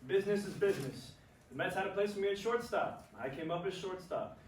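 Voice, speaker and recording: A man's voice: sits high, expressive, fairly quick. A man acting a cocky, curt baseball star with a blunt, clipped delivery in a staged play reading. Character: cocky, curt male baseball star; also gruff father. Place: stage before a room, far microphone. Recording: echoey distant stage recording with noise.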